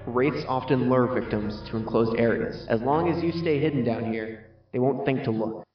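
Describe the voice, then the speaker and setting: An actor playing a grim phantom soldier in an audio drama, giving cryptic, ominous warnings in a measured way. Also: male voice, medium pitch, lightly acted. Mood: cautioning. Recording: echoing, distant, muffled, loud music behind.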